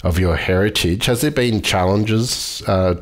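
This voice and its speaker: A man hosting a food podcast, asking measured interview questions. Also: on the low side, a little hiss or hum. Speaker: podcast host.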